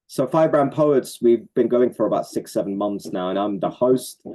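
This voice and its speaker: A man's voice: medium pitch, expressive, moderate pace. A man from Norway, a poet and community organizer, talking earnestly and reflectively about his work in an interview. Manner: reflective, earnest, with soft laughs and fillers. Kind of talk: conversational interview answers.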